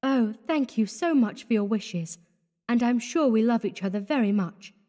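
A man's voice: very high-pitched, animated, moderate pace, dark tone, long reverb tail, voice pushed up. A man voicing a shy young woman, breathless and sighing. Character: shy young French woman, newly engaged.

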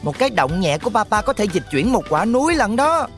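A performer voicing a cheeky, playful little boy with a teasing, childlike manner in an animated cartoon. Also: mid-range voice, fairly quick, faint music behind.

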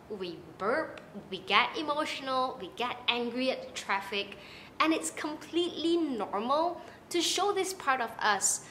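Young malaysian woman chatting to her camera at home, animated, hands going, faint rumble behind her. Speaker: content creator. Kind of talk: casual talking-head vlog advice. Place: small apartment living room, indoors.

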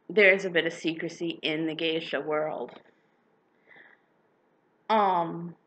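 A young woman talks things through at an unhurried, measured pace, her voice a little hoarse from a cold. She is frank and opinionated, with the odd swear. The sound is dull and lo-fi, like a webcam in a living room. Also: fairly low pitch. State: slightly hoarse, getting sick. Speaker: book vlogger. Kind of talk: casual opinion vlog. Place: living room with a couch, indoors.

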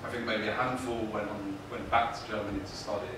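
A young male presenter fields academic questions in a low voice with little melodic movement. He hedges and feels his way through each answer. The sound is picked up from across a very echoey lecture room. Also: steady tone, fast talker, recorded from a distance, background noise. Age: young adult. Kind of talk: academic Q&A, unscripted. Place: indoor university lecture room. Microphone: far camera.

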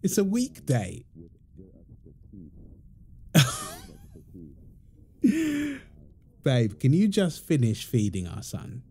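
A man acting as a young father in a domestic roleplay audio, with a very dark, relaxed voice and lively, swooping intonation. He is playful and affectionate, teasing in an informal, soft-spoken way over faint background noise. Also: medium pitch, slow pace. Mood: mock exasperated. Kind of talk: intimate roleplay audio, casual domestic chat.

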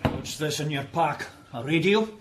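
Male constable, radio drama, actor's role: stern, commanding, clipped, fairly high-set, light background noise. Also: expressive, moderate pace, lightly acted. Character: stern, suspicious male village constable guarding his community.

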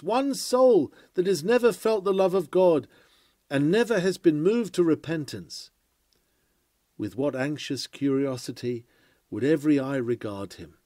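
Male sermon reader, fairly high and mellow, earnest oratorical delivery, dry close sound. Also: no room sound. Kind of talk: dramatic reading of a 19th-century sermon.

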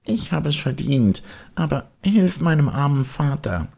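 A man's voice: fairly high pitch, big pitch swings, measured pace, creaky, warm tone, voice pushed up, full character voice. A man voicing an earnest young wooden puppet boy in a storybook, speaking emphatically and with feeling.

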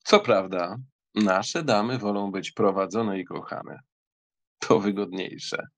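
A man acts a young German count in an audiobook drama. He speaks slowly, with polite irony and a measured, wry manner. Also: fairly low pitch.

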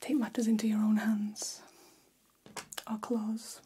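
A woman in her thirties playing a gossipy, catty vampire hairdresser in an ASMR roleplay, whispering in a breathy, confiding way close to the mic. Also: mid-range voice, little pitch movement, slight background noise, natural voice. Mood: hushed, conspiratorial. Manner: slow, hushed, confiding. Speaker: ASMR performer. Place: small decorated room, indoors. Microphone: close ASMR microphone.

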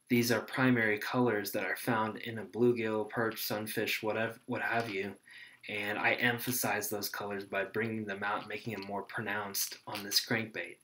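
A young man, a fishing guide, explaining gear to a webcam at his desk in a small room, in a relaxed, rambling way with many fillers. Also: lowish voice, poor audio. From Texas. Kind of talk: casual tutorial to camera.

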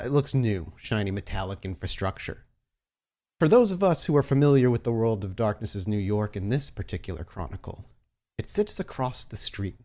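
Male game master, lowish voice, descriptive scene-setting narration for an actual play. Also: darkish tone.

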